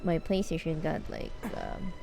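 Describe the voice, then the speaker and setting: Creaky-voiced woman streaming a game. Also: deep voice, fairly even intonation. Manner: informal, halting, repeats herself. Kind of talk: casual livestream chat and gameplay commentary. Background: game music.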